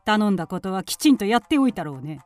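A haughty village matriarch, acted by a woman in a period radio drama, spiteful and suspicious. Her pitch sweeps widely as she sneers and orders people about. The voice is dry and close, with music underneath. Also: sing-song, quick pace, no echo, close to an everyday voice. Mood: scornful, suspicious. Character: spiteful, suspicious aunt and mistress of a village household.

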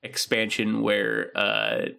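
A man's voice: on the low side, sing-song, slow, creaky, a crowd in the background. A young man co-hosting a podcast, chatting casually and rambling with lots of fillers and restarts. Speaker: podcast co-host. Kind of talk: casual chat.

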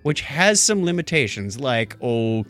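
A middle-aged or older man hosting a casual tech talk show, chatting conversationally and thinking out loud as he goes. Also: medium pitch, very animated, a little slow, faint music behind. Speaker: podcast host.

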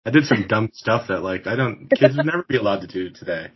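A man's voice: fairly low pitch, animated, fast, slightly rough. A man in his forties hosting a podcast interview, rambling casually with frequent laughs and restarts. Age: 40s. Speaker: podcast host. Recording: dull lo-fi sound.

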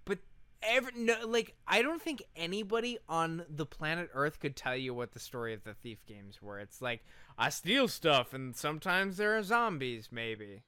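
Animated, bright-voiced man ranting casually. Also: on the high side, normal speed, clean tone, no room sound. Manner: emphatic, profane, argumentative. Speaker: podcast co-host. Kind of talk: casual chat, opinionated ranting.

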